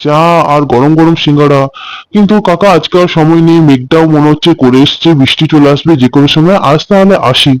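A man acts out a doting village father in a children's cartoon, chatty and full of exclamations. His voice sits a little high and is recorded close and dry. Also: fairly quick, no room sound, natural voice, low-quality recording. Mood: pleased, chatty. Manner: exclaiming, chatty, emphatic repetition. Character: doting, hearty village father who loves food. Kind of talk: Bengali cartoon story voice acting.

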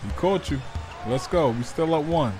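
A man role-playing a mic'd-up basketball player, calling out short hyped commands to his teammates over in-game chatter. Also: on the high side. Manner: hyped, urging teammates with quick short calls. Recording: noisy game audio underneath.

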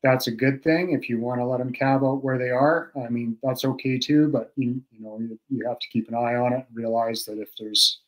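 A man's voice: mid-range voice, a little fast, hardly any pauses, muffled recording. Middle-aged male guest expert, casual how-to talk, filler-heavy, webcam sound from a home room. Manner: relaxed, practical, many fillers and restarts, chuckles often. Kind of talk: casual instructional talk on a video call. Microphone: video call webcam.

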